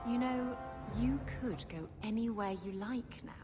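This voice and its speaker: A woman's voice: a little high, unhurried, over music, lightly acted. An actress playing a breezy female raider captain in a video game, playful and goading. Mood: teasing. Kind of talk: video game cutscene dialogue. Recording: long reverb, loud background noise.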